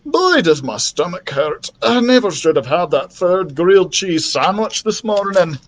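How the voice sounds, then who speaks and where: Man as a young storybook dragon, raised pitch, earnest, childlike, good-natured, lively. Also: unhurried, a little hiss or hum. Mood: apologetic, sheepish. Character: gassy, good-natured young male dragon, eager to help.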